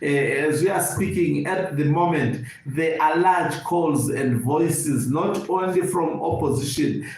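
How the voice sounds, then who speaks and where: A man with a Zimbabwean accent gives live political commentary as an online host, phrasing deliberately and repeating words for emphasis. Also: fairly high pitch, darkish tone. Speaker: online news channel host and political analyst. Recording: very echoey room, faint background noise.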